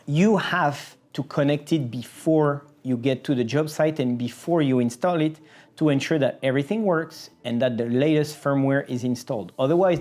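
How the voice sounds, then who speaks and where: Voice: medium pitch, a little mellow. A company presenter explaining a product step by step in a video explainer style. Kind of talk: scripted-sounding product explainer.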